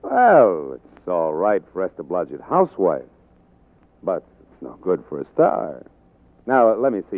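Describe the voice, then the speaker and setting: A shrewd, blunt movie mogul with a deep, lively voice, thinking aloud and deciding briskly. It is a vintage radio drama with narrow, tinny sound. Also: male voice, exaggerated voice. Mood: musing. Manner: gruff, musing, decisive. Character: blunt, shrewd male studio boss. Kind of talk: 1940s radio acting. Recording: humming, narrow-band 1940s radio recording.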